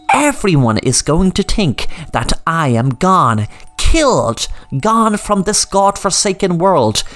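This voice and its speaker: A woman's voice: mid-range voice, pitched above the performer's own voice. A woman voicing a scheming, cruel male villain who taunts his captives in a storybook reading. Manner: gloating, taunting.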